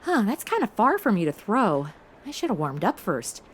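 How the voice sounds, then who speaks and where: A woman's voice: mid-range voice, sing-song, normal speed, husky. A woman playing a brash, impulsive modern American sidekick in a comedy audio drama, slangy, blunt and sarcastic. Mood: rambling, sheepish.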